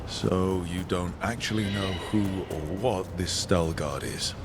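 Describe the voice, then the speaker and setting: Lower-voiced friendly adventurer. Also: male voice, a little slow, over music. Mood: questioning, steady. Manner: casual, supportive, questioning. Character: friendly, inquisitive male adventuring party leader. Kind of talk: video game voice acting.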